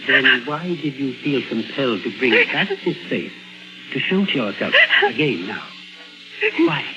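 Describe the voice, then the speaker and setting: Animated, probing doctor on old radio. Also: male voice, medium pitch. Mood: intrigued, probing. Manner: theatrical, deliberate questioning. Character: probing, self-assured male psychiatrist, firm but caring. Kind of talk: 1950s radio drama acting. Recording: muffled vintage radio recording with music.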